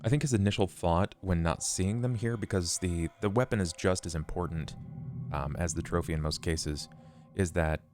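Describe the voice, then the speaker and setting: A man playing a tabletop role-playing game, talking through his character's actions in a halting, thoughtful way. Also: deep voice. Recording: music playing under the voice. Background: music.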